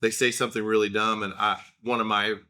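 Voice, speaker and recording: A man's voice: fairly low pitch, flat delivery, a little fast, sharp tone. A man in his thirties with an Oklahoma accent, telling rambling, self-deprecating stories in a casual solo talk.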